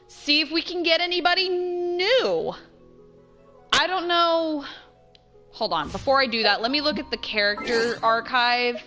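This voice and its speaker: A woman's voice: fairly high pitch, bright, forceful tone. A woman game streamer giving casual let's play commentary as she plays.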